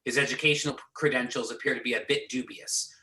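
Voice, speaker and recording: A man's voice: medium pitch, steady tone, fast talker, bright, forceful tone, close to an everyday voice. A man playing a businesslike agency boss briefing agents, reading in an amateur readers theater over a video call. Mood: matter-of-fact. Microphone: video or conference call. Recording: echoey room over video conference.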